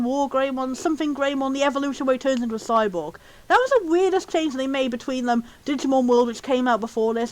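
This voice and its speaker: The voice sits high and could be a man's or a woman's. It hosts in a loose, casual commentary style. Sentences halt, circle back and wander off into tangents as the speaker rambles along. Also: dry recording. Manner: rambling, halting, full of tangents and chuckles. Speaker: host of a video series.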